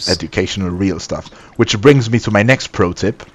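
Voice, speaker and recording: Deep-voiced Gibraltarian man chatting over a game. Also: moderate pace, a little hiss or hum. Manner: joking, explains game steps. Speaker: gaming video host. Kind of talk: casual gaming chat.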